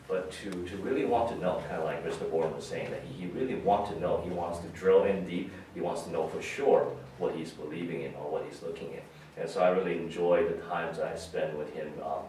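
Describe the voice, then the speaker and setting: Echoey, low-voiced man preaching conversationally. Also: darkish tone. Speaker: pastor giving a funeral message. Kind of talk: conversational memorial sermon. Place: large reverberant room at a memorial service. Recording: very echoey hall, distant, faint noise.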